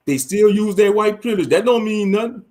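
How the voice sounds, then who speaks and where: A man's voice: sits high, fairly quick, warm tone. A man hosting a casual livestream chat into a mic with a pop filter, talking bluntly and swearing freely. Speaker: stream host. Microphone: studio mic with pop filter.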